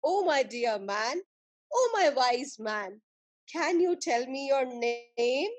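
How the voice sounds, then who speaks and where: A woman voicing an imperious, quick-tempered male emperor in a folk tale, commanding and formal. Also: sits high, animated, voice pushed down. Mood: pleased, gracious.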